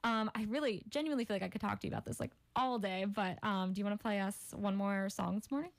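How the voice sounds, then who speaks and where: A woman's voice: medium pitch, quick pace, gravelly. A woman hosting a radio interview, chatting casually, laughing often and giving short replies. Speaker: radio host. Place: radio studio.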